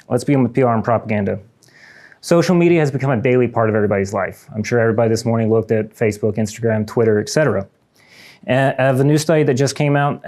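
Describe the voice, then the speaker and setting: A man's voice: mid-range voice, darkish tone. A young man from Ukraine giving a prepared student lecture in a lecture room, earnest and reading from notes with small restarts.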